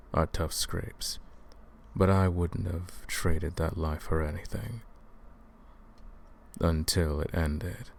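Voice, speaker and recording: A man playing a centuries-old vampire who hunts his own kind, brooding and menacing, in a measured, scripted audio roleplay monologue spoken straight to the listener. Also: darkish tone, slight background noise, exaggerated voice. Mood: wistful. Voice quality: low, gravelly.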